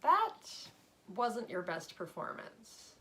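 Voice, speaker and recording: A woman playing a chatty, breezy young schoolgirl in an expressive dramatic reading of a children's book. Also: mid-range voice, slow pace, slight background noise, exaggerated voice. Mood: frank. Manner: expressive, casual, joking. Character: Kelly, chatty, breezy young schoolgirl, George's best friend.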